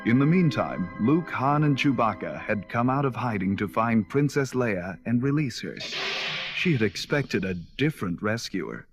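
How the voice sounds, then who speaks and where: A man's voice: medium pitch, animated, moderate pace. A man narrating a scripted children's adventure story in a dramatic read-along style. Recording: 1979 cassette, loud music underneath.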